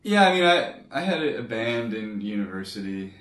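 A man's voice: medium pitch, big pitch swings, normal speed, a bit bright, roomy sound, recorded from a distance. A man from Canada, a guest musician, talking in an easygoing way and hedging often with "I mean". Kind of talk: casual chat.

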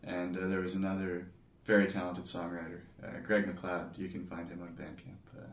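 Deep-voiced Canadian musician, off-mic. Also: male voice, completely flat delivery, moderate pace, echoey room, distant mic. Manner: easygoing, laughs readily, hedging with "I mean". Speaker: guest musician. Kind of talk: casual chat.

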